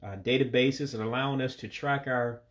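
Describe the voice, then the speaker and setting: An American man, a native English speaker, giving a casual video language lesson and slowly repeating words for pronunciation. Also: mid-range voice, steady tone. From United States. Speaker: language learner teaching vocabulary.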